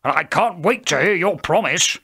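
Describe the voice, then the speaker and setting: Man acting a loud, hot-tempered hunter son. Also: fairly high pitch, expressive, moderate pace, gravelly, husky, voice pushed up, exaggerated voice. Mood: cheerful, eager. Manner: loud, exclamatory. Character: big hairy elder son, hearty, hot-tempered hunter.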